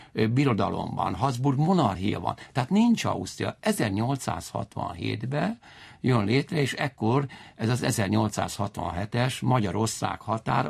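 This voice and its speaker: A male historian with a low, creaky and husky voice gives explanations in an interview. He lectures in a digressive way, often circling back to rephrase. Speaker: historian guest and university lecturer.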